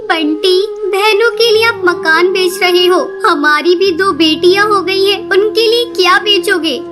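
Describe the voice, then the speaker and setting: A woman's voice: very high voice, measured pace, over music. A woman voicing a worried, dutiful young mother bird in a cartoon, plaintive and earnest. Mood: pleading, upset. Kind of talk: cartoon voice acting.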